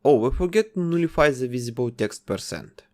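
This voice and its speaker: Man with a lively voice narrating a coding tutorial. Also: mid-range voice, brisk. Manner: explains code step by step while testing it. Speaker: game development tutor. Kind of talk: screen-recorded coding tutorial.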